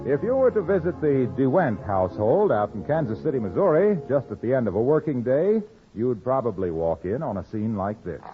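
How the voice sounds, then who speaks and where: Old timey radio announcer guy, lively, slightly rough voice, selling hard, tinny sound. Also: mid-range voice, brisk, music in the background. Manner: polished, emphatic salesmanship. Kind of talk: 1950s radio announcing and sponsor pitch.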